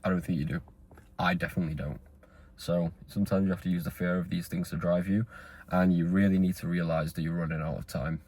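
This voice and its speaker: Earnest young man, very deep voice. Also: little pitch movement, fast, a little mellow. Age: 20s. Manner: rambling, earnest, with fillers and restarts. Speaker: vlogger. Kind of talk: motivational talking-head monologue.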